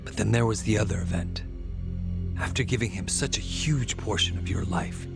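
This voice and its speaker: A man playing a cold, smug gangster with a gravelly voice and a measured, condescending manner, in a dubbed video game cutscene. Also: on the low side, over loud music. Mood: smug, calm. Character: cold, smug male gangster calmly confessing and needling.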